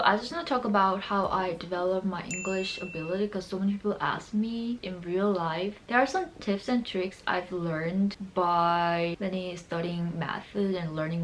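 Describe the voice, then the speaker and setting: A woman's voice: mid-range voice, poor audio. A young woman from South Korea, a native Korean speaker with a Korean accent, talking casually to her viewers as a lifestyle video creator. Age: young adult. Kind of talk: casual vlog talk to camera.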